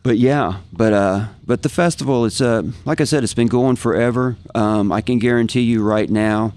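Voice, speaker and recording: A man's voice: mid-range voice, moderate pace, darkish tone, clear, resonant tone, dry recording. A man from Kansas with an American accent, chatting casually and enthusiastically as a guest and reminiscing, often repeating phrases for emphasis. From Kansas, United States. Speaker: guest, professional guitarist. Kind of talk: casual podcast chat, reminiscing.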